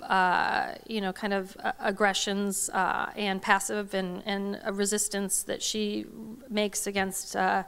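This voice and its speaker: A middle-aged woman answers questions from a podium in a large hall. Her voice is smooth and clear, with a flat, level pitch. She talks hesitantly, full of fillers and restarts. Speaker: lecturer answering audience questions. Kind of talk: academic Q&A talk. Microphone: podium microphone.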